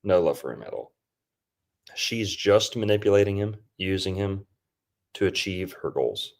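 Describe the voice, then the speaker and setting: A man's voice: low voice, lively intonation, normal speed, gravelly. A man hosting a podcast, casually breaking down a movie in a loose, rambling way with lots of fillers. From Florida. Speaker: podcast host.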